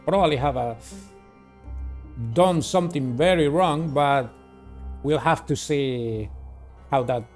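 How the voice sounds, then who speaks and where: Higher-voiced man reading over background music. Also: slow, long pauses, darkish tone. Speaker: video game player and host. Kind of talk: reading a visual novel aloud with casual commentary. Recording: music playing behind the voice.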